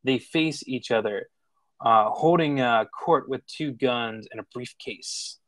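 A man reading screenplay stage directions as narrator, informal and slightly halting. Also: mid-range voice, fairly bright tone, dry recording. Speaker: narrator of a screenplay table read.

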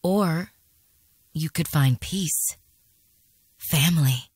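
An actress playing a determined, wry human explorer in a video game, speaking in an informal, expressive way. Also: female voice, sits low, drawn-out words, slightly rough, dry recording, studio quality, heavily acted. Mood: earnest.

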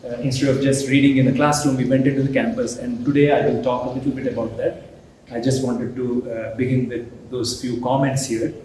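A middle-aged man with an Indian English accent gives a formal lecture at a podium, pausing often with "uh" and restarting phrases. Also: medium pitch, little pitch movement, darkish tone. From India (Hyderabad). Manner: halting, many "uh" fillers and restarts. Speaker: guest lecturer, professor. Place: large hall with a banner backdrop. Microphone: podium mic, filmed from a distance. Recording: very echoey hall, faint noise.

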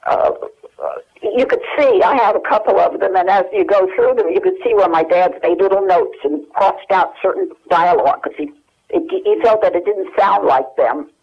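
A woman's voice: medium pitch, moderate pace, husky. Woman in her eighties on a phone line. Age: 80s. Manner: hesitant, searching memory, many fillers. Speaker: guest. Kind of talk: phone interview. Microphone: telephone. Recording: muffled telephone line.

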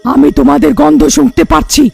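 A woman voicing a furious, vengeful witch in a horror cartoon, speaking in menacing, drawn-out threats. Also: high-pitched, steady tone, creaky, warm tone, soft background music. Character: vengeful female witch, furious man-eating night prowler.

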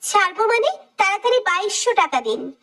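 Woman doing a sassy sheltered young girl, super high voice, always joking. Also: very animated, fairly bright tone, heavily acted. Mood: playful. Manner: cheeky, dramatic, quick-tongued. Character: feisty, playful young woman, sheltered daughter. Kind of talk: romantic audio story acting.

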